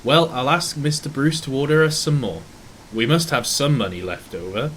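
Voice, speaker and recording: A man's voice: mid-range voice, moderate pace, fairly bright tone, a little hiss or hum, natural voice, rough recording. A man voicing an irritable, proud railway owner in an audio drama, blustering and exasperated. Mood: stern.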